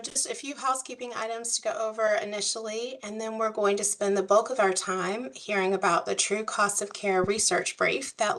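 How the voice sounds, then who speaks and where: A woman, hoarse from a cold, facilitating an online work group meeting in a friendly, procedural way. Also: mid-range voice, fairly bright tone, poor audio. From US South. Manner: friendly, procedural, uses y'all. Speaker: meeting facilitator, policy advisor. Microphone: video call.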